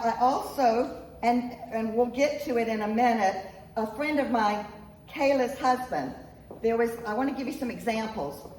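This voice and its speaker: Woman speaker, emphatic public talk, slightly high voice, faint room noise. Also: darkish tone, roomy sound, recorded from a distance, poor audio. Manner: earnest, emphatic, repeats points. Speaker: author speaking at a local event. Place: event room, echoey. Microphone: handheld microphone, at times off mic.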